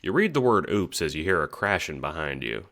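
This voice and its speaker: A man's voice: sits low, big pitch swings, fairly quick, very noisy. A man narrating an audio drama in the second person, as if telling the listener their own story.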